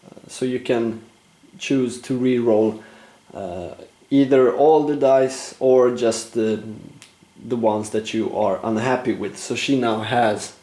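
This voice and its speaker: Man, mid-pitch, casual board game commentator with a slightly hoarse voice; roomy echo. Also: takes it slowly, dark tone. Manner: explains rules while thinking aloud, frequent "uh" fillers. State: losing his voice, clearing throat. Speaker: board game player narrating a play-through.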